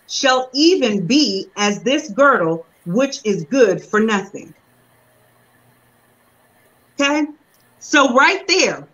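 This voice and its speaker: A woman's voice: on the high side, a bit bright, muffled recording. Young woman preaching over a video call. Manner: reads scripture slowly, then explains in casual preaching talk. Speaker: reverend preaching. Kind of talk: sermon. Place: room at home. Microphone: video call webcam.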